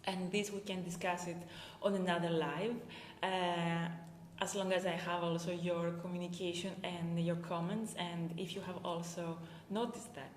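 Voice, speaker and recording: A woman's voice: on the low side, level tone, moderate pace, slight background noise. A Greek woman speaking English with a Greek accent, a performance coach talking live to her viewers, hesitant with many filler sounds. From Greece. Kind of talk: live-stream talk to viewers. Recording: echoey room with long reverb.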